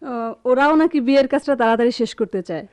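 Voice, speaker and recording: A woman playing a calm, practical middle-aged wife and mother in a radio drama, gentle and measured. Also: sits high, dry recording, clean studio sound. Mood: calm, hopeful. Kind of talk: Bengali radio drama acting.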